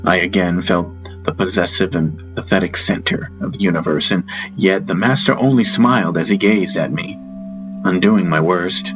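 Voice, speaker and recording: A man narrating a first-person fantasy audiobook in a solemn, measured, reflective manner. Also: low-pitched, music in the background, poor audio.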